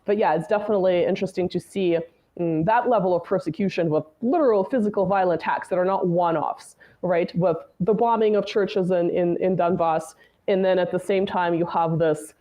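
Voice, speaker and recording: Woman, guest commentator, lowish voice, mellow tone, casual political chat, frequent "you know" tags. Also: fairly even intonation, a little fast. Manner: talkative, many "right?" and "you know" tags.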